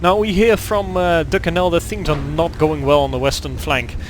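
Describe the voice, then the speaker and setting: A young Dutch man talks through a tank game replay in English, stopping often for ums and uhs and restarting his sentences. His voice sits a little high for a man, and he speaks with a Dutch accent. A faint hiss of noise runs under the recording. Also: steady tone, normal speed, poor audio. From Netherlands. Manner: hesitant, many fillers and restarts. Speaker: gaming commentator. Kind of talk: gameplay commentary.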